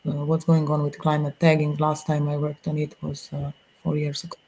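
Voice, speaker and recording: Croatian woman in her forties, hesitant video-call panelist, flat delivery. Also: low voice, fairly quick, slight background noise. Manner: hesitant, many fillers and restarts. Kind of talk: panel discussion.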